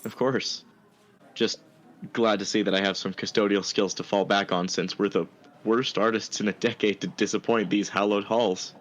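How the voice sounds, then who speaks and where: A man's voice: fairly low pitch, fairly even intonation, moderate pace, highs cut off, lightly acted. A man playing a sympathetic, smitten art student who tells his story in an earnest, tender manner. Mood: shy, supportive. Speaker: narrator and actor in audio fiction. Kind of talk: first-person story narration and dialogue.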